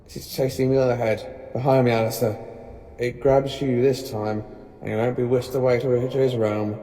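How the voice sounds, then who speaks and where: A man acting as a clever, commanding professor in an audio drama, speaking in a theatrical, deliberate way. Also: medium pitch, flat delivery, dark tone, a little hiss or hum, lightly acted. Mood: grave, defiant. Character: commanding, clever professor, weary rescuer of others. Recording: long reverb with music behind. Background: music.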